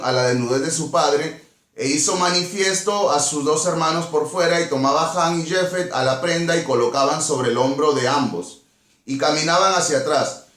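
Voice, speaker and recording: A man's voice: fairly high pitch, a bit bright, roomy sound. A man with a Peruvian Spanish accent teaching a Bible study, reading verses and explaining them didactically, repeating phrases and questions. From Peru.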